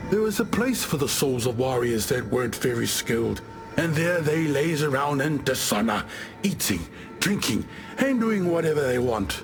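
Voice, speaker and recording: Laid-back island warrior chief from a video game, wry storyteller, voice swings up and down a lot, music playing under it. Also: male voice, medium pitch, big pitch swings, moderate pace. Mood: laid-back candor. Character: easygoing warrior chieftain of an island tribe, dead in afterlife. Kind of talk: video game character dialogue. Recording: music behind the voice.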